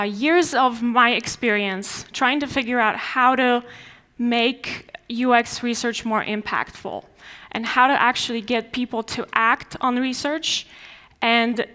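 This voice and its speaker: A young woman with a Polish accent addresses a conference audience from a large dark stage. Her voice sits a little high, and she talks in a loose, conversational way, full of fillers and restarts. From Poland. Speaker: conference speaker. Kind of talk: conference talk.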